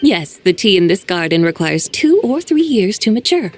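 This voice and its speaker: A woman playing a serene, eloquent immortal in a video game, speaking in a formal, poetic, measured manner. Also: medium pitch, big pitch swings, a little mellow, soft background music. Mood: composed, frank.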